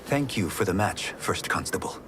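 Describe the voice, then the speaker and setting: A man's voice: sits low, animated, normal speed. An actor playing an earnest, humble young male fighter in a video game cutscene, polite and nervous. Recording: music underneath.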